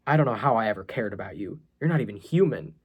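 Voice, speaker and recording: A man's voice: on the low side, animated, quick pace, breathy edge, narrow, tinny audio. An anxious storybook schoolboy, acted by a man, frightened yet defiant. He speaks bluntly, with exasperation. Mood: scornful.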